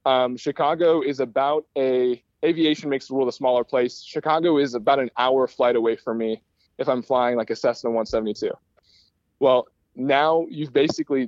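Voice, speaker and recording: A man's voice: mid-range voice, a little fast, a little mellow, no echo, dull audio. An American man speaking conversationally as an interview guest, explaining things in an enthusiastic, rambling way. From United States, Michigan. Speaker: guest, aerospace start-up founder.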